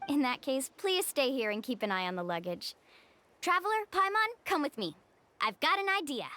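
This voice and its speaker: A woman's voice: sits high, sing-song, moderate pace, sharp tone, a little hiss or hum, exaggerated voice. A woman voicing a cheerful young woman who guides her group and takes the lead. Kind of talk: game voice acting. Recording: game audio with faint music.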